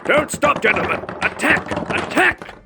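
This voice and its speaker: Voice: high voice, very animated, measured pace, vocal fry, heavy background noise, full character voice. An actor playing a blustering, pompous guard captain in a comic fan dub, exclaiming theatrically. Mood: commanding, alarmed. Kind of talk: fan dub character acting.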